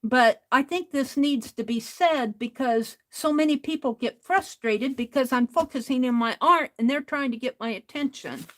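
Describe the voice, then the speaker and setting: A woman hosting an art livestream, talking casually to chat in a loud voice, rambling and restarting her sentences. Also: sits high, moderate pace, few pauses.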